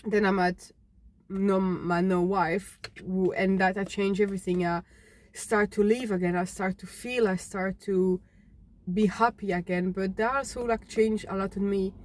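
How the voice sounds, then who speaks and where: A young woman from Belgium, telling her own story unscripted to camera in a halting, earnest way, often restarting words. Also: medium pitch, fairly even intonation. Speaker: fitness vlogger.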